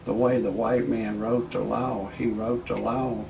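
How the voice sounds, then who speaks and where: A man's voice: on the low side, steady tone, measured pace, creaky, darkish tone, a little hiss or hum. A man in his sixties, talking in a rambling way, repeating words as he goes, first with opinions and then with stand-up jokes. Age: 60s. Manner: rambling, repeats words while searching for a point. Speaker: video blogger.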